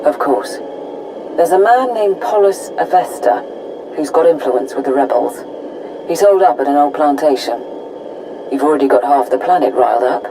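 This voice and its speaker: An actress playing a cool, scheming female intelligence officer in a video game, briefing crisply. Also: deep voice, lively intonation, moderate pace, background noise.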